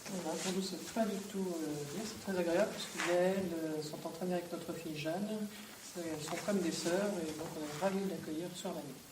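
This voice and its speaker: A woman who hosts a foreign student, speaking briskly and cheerfully. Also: on the low side. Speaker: host mother. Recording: distant mic, echoey room, noise.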